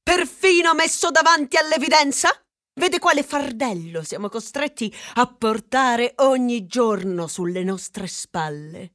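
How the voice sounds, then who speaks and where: A woman's voice: a little high, measured pace, fairly bright tone. A woman playing a bossy male castaway boss in an absurdist stage comedy, declamatory, accusing and sarcastic. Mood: grandiose indignation. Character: bossy male castaway leader, woodcutter's son, pompous cannibal demagogue. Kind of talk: theatrical absurdist comedy acting.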